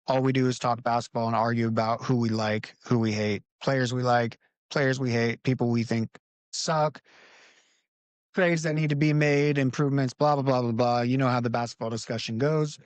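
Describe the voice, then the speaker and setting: A man hosting a casual basketball podcast, talking in a relaxed, conversational way and leading the discussion. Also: medium pitch, normal speed, no room sound. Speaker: podcast host. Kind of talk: casual sports podcast talk. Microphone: home recording setup.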